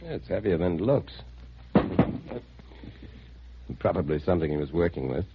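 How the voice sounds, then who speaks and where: Troubled amateur investigator and narrator, very low voice, dull 1950s radio recording. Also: male voice, expressive, fast talker, gravelly, very noisy. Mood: subdued. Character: troubled civilian man investigating a missing friend, narrating his story.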